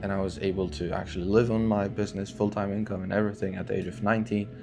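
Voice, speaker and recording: A man's voice: lowish voice, level tone, racing, vocal fry, music in the background. A man in his twenties talking earnestly in a loose, unscripted way, with many fillers and restarts, about his vision for entrepreneurship. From Syria, raised in Sweden. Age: 20s. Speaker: online entrepreneur. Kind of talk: talking-head motivational vlog.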